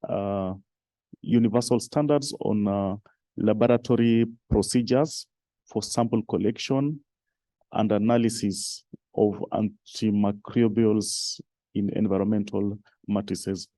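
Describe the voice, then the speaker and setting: A man at a conference listing recommendations in a halting way, with many fillers. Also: on the low side, steady tone, dark tone, dry recording. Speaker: conference participant. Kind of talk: discussion contribution. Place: conference hall.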